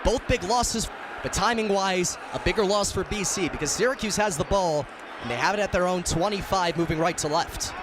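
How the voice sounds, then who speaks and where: High-pitched man calling sports play-by-play. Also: brisk, crowd noise, background noise. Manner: clipped running description, bursts into excited calls. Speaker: radio play-by-play announcer.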